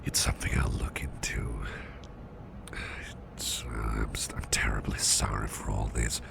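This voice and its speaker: A man playing a caring, reassuring businessman boss in an ASMR roleplay, murmuring softly and close in a gravelly, half-whispered voice. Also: very deep voice, flat delivery, full character voice. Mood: soothing, concerned. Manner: slow, hesitant, reassuring, repeats phrases.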